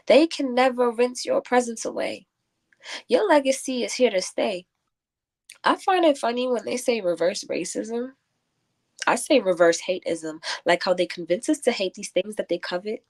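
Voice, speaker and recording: Woman poet guest, kinda high voice, spoken word style, talks casual or recites rhythmically. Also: normal speed, creaky. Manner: declamatory, rhyming delivery when reciting.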